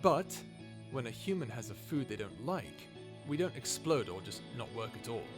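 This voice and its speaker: A man's voice: mid-range voice, very animated, normal speed, lightly acted. Male actor as wry human scientist, mid-range voice, grumbling, sarcastic, informal audio drama delivery, prominent background music. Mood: casual, curious. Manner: sarcastic, informal, exasperated, sighing. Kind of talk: audio roleplay drama, conversational acting.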